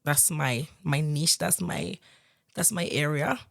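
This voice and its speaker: A woman's voice: low-pitched, slow pace, gravelly, fairly bright tone. A young woman, raised in Ireland, chatting casually as a podcast guest, with many fillers and frequent laughter. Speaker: guest, singer-songwriter.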